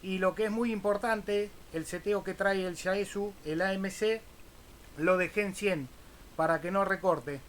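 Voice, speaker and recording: High-pitched Argentine man on ham radio. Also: fairly even intonation, a little slow, a little hiss or hum. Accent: Argentine Spanish. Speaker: amateur radio operator, channel host. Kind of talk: casual ham radio exchange with spelled-out call signs.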